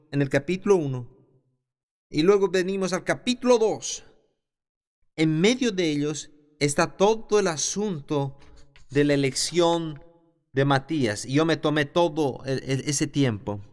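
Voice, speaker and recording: A man teaching a Bible class who reads scripture aloud and then explains it, stopping now and then to restart a phrase. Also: fairly high pitch. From the south of his country.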